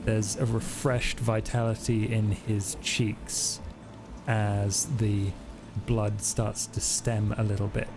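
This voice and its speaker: A man's voice: on the low side, level tone, takes it slowly. A man working as game master, improvising the narration of a tabletop roleplaying game. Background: music.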